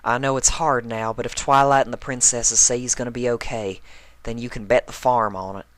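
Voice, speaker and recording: A man's voice: mid-range voice, steady tone, brisk, a bit bright, pitched above the performer's own voice, lightly acted. A man voicing a down-to-earth, comforting farm pony mare in a story reading, folksy and plainspoken.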